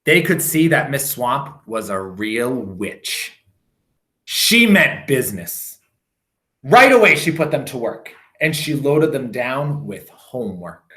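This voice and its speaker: A man reads aloud to children like an expressive storyteller. His voice is bright and very lively in pitch, with unhurried, theatrical emphasis.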